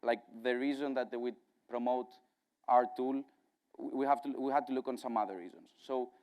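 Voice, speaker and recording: A man in his thirties from Greece with a strong Greek accent, giving a casual conference talk on a dark stage, with a lot of fillers and restarts. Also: medium pitch, smooth. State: jet-lagged. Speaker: conference speaker.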